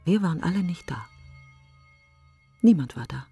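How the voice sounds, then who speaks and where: A woman's voice: lowish voice, expressive, normal speed, music in the background. An actress playing a grieving, self-accusing woman who broods in fragmented questions in a literary radio play monologue. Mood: mournful. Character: grieving, self-accusing woman mourning her best friend.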